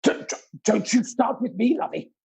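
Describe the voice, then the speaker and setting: A middle-aged man with a mellow, somewhat raspy voice hosts a quiz. He rambles in a camp, playful way and pokes fun at himself as he goes. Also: very high-pitched, moderate pace. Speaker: quiz host. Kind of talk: live online quiz banter.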